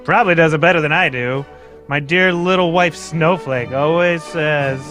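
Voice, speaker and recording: A man plays a blustering old thespian in a vintage radio play, all florid exclamations. The voice sits high and bright, swooping widely from line to line. Faint music plays underneath. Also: normal speed, natural voice. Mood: blustery. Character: pompous, theatrical older male actor sidekick. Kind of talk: 1940s radio acting, table read.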